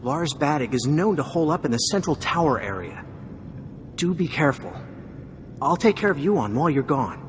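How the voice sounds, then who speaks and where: A man's voice: on the high side, animated, moderate pace, slight background noise, natural voice. An actor playing an anxious, courteous male embassy official in a video game, earnest and pleading in manner. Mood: concerned.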